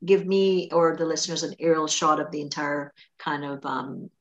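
A woman's voice: low voice, fairly even intonation, moderate pace, dull audio. A woman with a Trinidadian accent hosting an interview in a chatty way with asides. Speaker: podcast host.

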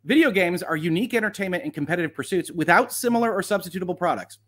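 A man's voice: high-pitched, animated, rapid-fire. A man hosting a livestream of legal commentary, talking casually and reading aloud with wry, sardonic asides.

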